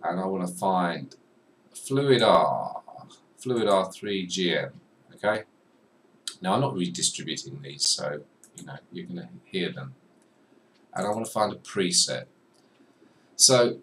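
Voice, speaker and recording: A young man talks through a screen-recorded software lesson, chatty and joking at himself as he clicks around. His voice sits low. He's a little distant from the desk mic, with faint background noise in the small room. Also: lively intonation, unhurried, pauses often. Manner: chatty, self-deprecating, talks to viewer while clicking. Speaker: music software tutor.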